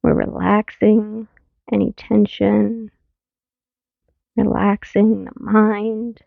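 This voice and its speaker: Very creaky-voiced woman guiding slow meditation. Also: mid-range voice, level tone, dry recording. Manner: gentle, unhurried, soothing, with long pauses. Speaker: mindfulness teacher. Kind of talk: guided meditation, body scan. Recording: narrow phone-like sound, very dry.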